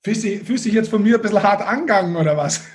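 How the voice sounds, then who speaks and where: A man's voice: high voice, lively intonation, rapid-fire. A man hosting a sports podcast, chatting casually with a teasing, sarcastic manner. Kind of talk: casual bantering podcast chat.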